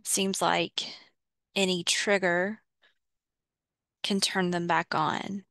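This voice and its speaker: A woman leading a guided visualization, giving measured instructions in short phrases with pauses between them. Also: fairly low pitch, flat delivery, fairly bright tone, no room sound, studio quality. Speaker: guided meditation host.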